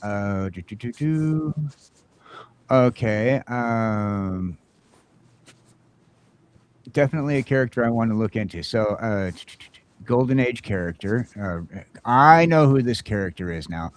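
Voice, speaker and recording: Male podcast host, lowish voice, casual, halting delivery full of ums. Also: animated. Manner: halting, many fillers and restarts. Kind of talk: casual chat.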